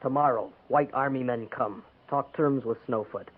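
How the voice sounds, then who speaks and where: A man's voice: medium pitch, moderate pace, close to an everyday voice. A narrow, noisy 1950s radio recording carries a young band leader, acted by a man. He is proud and defiant, making terse, solemn pronouncements in stilted English. It is declamatory western radio acting. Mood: proud, boastful.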